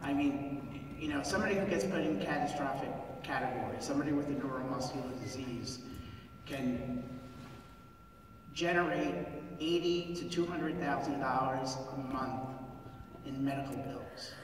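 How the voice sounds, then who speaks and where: A man in his fifties, a physician, speaking at a town hall in a halting, earnest way and clearing his throat between phrases. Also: mid-range voice, background noise. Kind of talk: public comment at a town hall. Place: large theater hall. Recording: echoey theater heard from far off.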